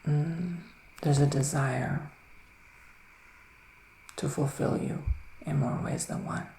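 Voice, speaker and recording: Male ASMR witch, whispery and reassuring, gravelly fry, unhurried and soothing, roomy sound. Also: fairly high pitch, fairly even intonation, slight background noise. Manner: soft-spoken, soothing, unhurried, with pauses. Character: gentle, reassuring male witch giving psychic readings. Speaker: ASMR performer playing a psychic reader.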